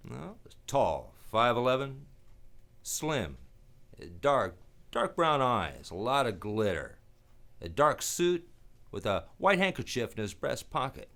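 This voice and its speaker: A man playing a laconic, wry private detective in a radio play, terse and deadpan, with music under him. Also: medium pitch, slow, full character voice. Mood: calm, firm. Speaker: lead actor and narrator. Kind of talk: hardboiled detective radio play, narration and dialogue.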